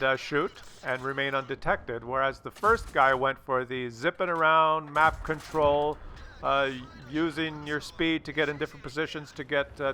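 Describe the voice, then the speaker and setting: A man's voice: mid-range voice, pushed and bright, slight background noise. A man giving casual, rambling video game commentary in a conversational, mildly sardonic way. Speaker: gameplay commentator.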